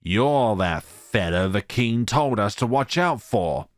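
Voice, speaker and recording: A man's voice: fairly low pitch, lively intonation, takes it slowly, no room sound, clean studio sound. A man voicing a bloodthirsty, gloating rabbit guard from a horror visual novel. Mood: gloating menace. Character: menacing, bloodthirsty male rabbit guard with spear. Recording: music behind the voice.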